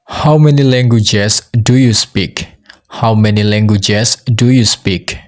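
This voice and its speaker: A man's voice: lowish voice, takes it slowly. A man with a Mizo accent, a native Mizo speaker teaching English, who says each phrase twice for learners.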